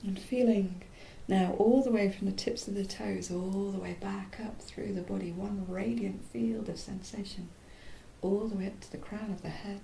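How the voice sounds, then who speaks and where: A woman teaching yoga nidra, leading a guided relaxation in a soft, soothing, unhurried voice that turns breathy at phrase ends and pauses mid-phrase. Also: steady tone. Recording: roomy echo, faint low rumbling noise.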